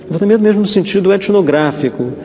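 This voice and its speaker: A man's voice: high-pitched, quick pace, gravelly, dark tone. A Brazilian man giving informal expert commentary, hesitating and repeating words as he explains, recorded with echoey reverb.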